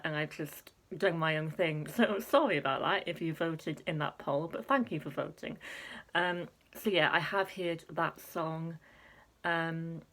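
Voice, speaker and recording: A young woman chatting casually to camera, filling pauses with ums and laughing at herself. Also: low-pitched, a bit bright, low-quality recording. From Wales. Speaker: Eurovision reaction vlogger.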